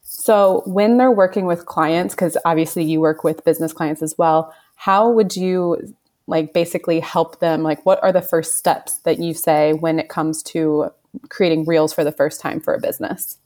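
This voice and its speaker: Bubbly woman podcast host, low voice. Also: fairly even intonation, normal speed, a little mellow. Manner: bubbly and enthusiastic. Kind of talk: casual podcast interview.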